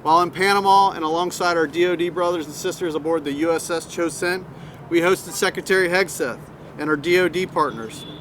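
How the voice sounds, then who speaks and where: A man's voice: a little high, fairly bright tone, poor audio. Man reading a formal statement. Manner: formal, measured delivery. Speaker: Coast Guard captain. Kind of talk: prepared press statement. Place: outdoor press event. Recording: outdoor traffic noise.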